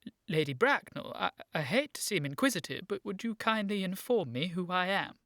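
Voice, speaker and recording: An earnest young gentleman in a comic radio play. The voice is lowish and closely recorded, formal and urgent, prone to stammer when agitated. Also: lively intonation, fairly quick, no room sound, clean studio sound. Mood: earnest, forgiving. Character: earnest, excitable young gentleman seeking his origins. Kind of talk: radio play acting, period comedy.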